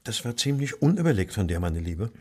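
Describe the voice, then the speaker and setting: Agitated gentleman, very lively pitch. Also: fairly quick, husky. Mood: tense, defensive. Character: agitated, well-to-do married gentleman, robbed householder. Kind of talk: German radio drama acting.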